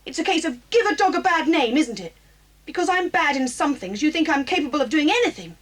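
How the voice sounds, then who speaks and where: A woman's voice: high voice, lively intonation, brisk, a bit bright. An actress with an English accent playing a bad-tempered, emphatic schoolgirl outcast in a children's audio drama. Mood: defiant, indignant. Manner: emphatic, indignant.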